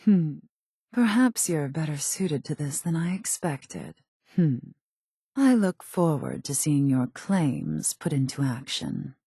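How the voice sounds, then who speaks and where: A calculating female gang leader from a post-apocalyptic game. Her voice is low, mellow and a little husky, recorded very dry. She talks with composed, haughty precision and a dry menace. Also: big pitch swings, slow pace, dry recording, heavily acted. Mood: cool, scheming. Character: cool, calculating female raider gang boss. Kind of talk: video game voice acting.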